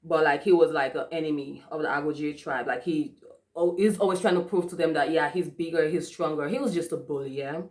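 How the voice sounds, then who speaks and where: A woman's voice: deep voice, fairly quick. A young woman from Africa giving a casual, chatty movie review with lots of fillers and restarts. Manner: chatty, many fillers and restarts, frequent laughs. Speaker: vlogger.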